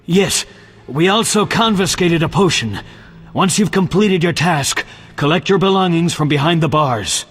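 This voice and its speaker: A crude, irritable dungeon jailer from a fantasy game. His husky, fairly high voice jumps around excitably and echoes with long reverb. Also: unhurried. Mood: eager. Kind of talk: video game voice acting. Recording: reverberant hall sound.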